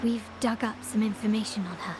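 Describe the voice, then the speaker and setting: An actress playing a poised, hospitable yet menacing woman of a powerful family in a video game, speaking formally and deliberately. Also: medium pitch, fairly even intonation, noisy background, close to an everyday voice. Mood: serious, explaining. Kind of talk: video game story voice acting. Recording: music playing behind the voice. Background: game music.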